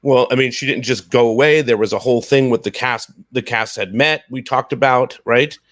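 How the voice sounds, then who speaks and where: A man's voice: mid-range voice, fairly bright tone, dry recording. Male podcast host, thirties, mid-range voice, conversational with stop-start phrasing, recorded dry over a video call. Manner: halting, repeats phrases. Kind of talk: casual discussion. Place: home room, video call.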